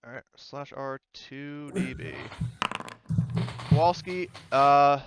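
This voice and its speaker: A man's voice: a little high, slow, a crowd in the background. A man acting as game master, narrating a tabletop roleplaying game in a casual, chatty style.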